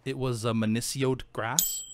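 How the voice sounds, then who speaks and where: A man chatting casually while playing a game with friends, excitable and playful, gushing with enthusiasm. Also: mid-range voice, a little slow, a little hiss or hum. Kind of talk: casual gameplay chat.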